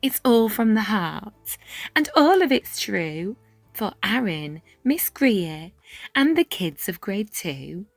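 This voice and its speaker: A woman narrates a rhyming picture book with great expression. Her delivery is extremely unhurried and full of melodic rises and falls, with dramatic pauses. The recording is close and dry. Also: mid-range voice, big pitch swings, no room sound. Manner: measured, dramatic pauses, drops into hushed whispers. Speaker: children's storybook reader. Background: background music.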